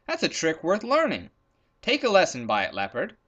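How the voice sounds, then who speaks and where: A man's voice: a little high, wildly swooping pitch, normal speed, a bit bright, exaggerated voice. A man voicing a sly, cheeky zebra in a children's storybook, taunting and exclamatory. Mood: triumphant, taunting. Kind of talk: storybook character voice in a read-aloud.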